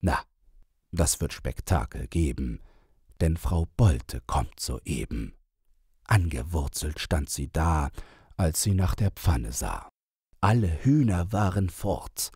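Very deep, husky male storyteller. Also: lively intonation, a little mellow, no echo, clean studio sound. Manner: deliberate, theatrical storyteller. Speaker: audiobook narrator. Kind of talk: expressive reading of a rhymed children's story.

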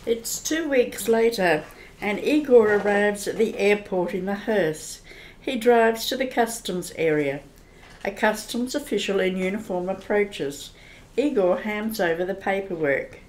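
Female narrator for an old-time radio play, medium pitch, scripted storytelling. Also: a little slow, darkish tone, rough recording.

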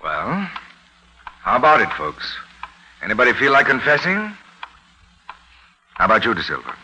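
Confident private eye, sardonic, bright forceful voice, swinging intonation, vintage radio play. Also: male voice, medium pitch, wildly swooping pitch, moderate pace, slightly rough, full character voice. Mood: taunting, assured. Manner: sardonic, teasing, commanding. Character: wry, confident male private detective. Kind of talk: 1940s radio detective acting. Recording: noisy vintage radio broadcast.

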